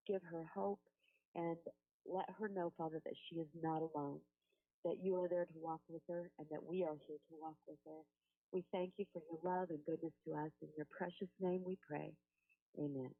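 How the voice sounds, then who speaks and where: A woman working as a helpline phone coach, talking a caller through in a soothing, reassuring way and praying with her. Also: fairly low pitch. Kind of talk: counseling phone call and spoken prayer. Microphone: telephone. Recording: tinny phone-line recording.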